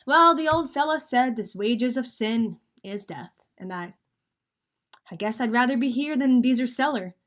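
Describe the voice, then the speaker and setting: A woman playing a wry, resigned, hard-boiled crook in a 1940s-style radio crime drama, telling her tale in terse slang. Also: a little high.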